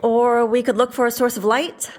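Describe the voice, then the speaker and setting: A woman's voice: a little high, level tone, moderate pace, natural voice. A woman acting in an audio drama as a practical, dry-humoured woman who takes charge, in naturalistic dialogue.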